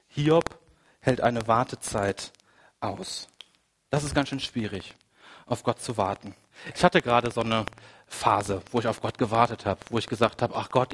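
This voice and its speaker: A man with a cold preaching a sermon, conversational and full of asides, moving between quiet, reflective passages and emphatic, rising ones. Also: medium pitch. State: has a cold. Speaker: pastor. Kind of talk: sermon with personal anecdote.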